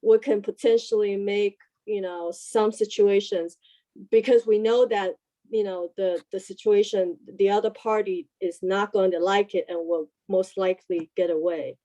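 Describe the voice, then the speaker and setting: A woman's voice: medium pitch, fairly even intonation, normal speed, dark tone, low-quality recording. A woman in a casual group discussion, asking a question with many fillers and restarts. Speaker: discussion participant.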